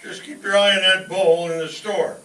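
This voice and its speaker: Echoey, high-voiced man playing scheming comedy dad. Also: fairly even intonation, normal speed, pushed and bright. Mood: busy, eager. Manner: keeps muttering numbers while counting. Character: bean-obsessed father, eager, bossy, scheming. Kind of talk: old-time radio comedy acting. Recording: echoey distant live stage, noisy room.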